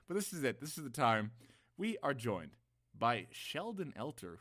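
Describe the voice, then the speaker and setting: A man's voice: mid-range voice, a bit bright. A young man co-hosting a casual podcast with playful, teasing banter. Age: young adult. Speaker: podcast co-host.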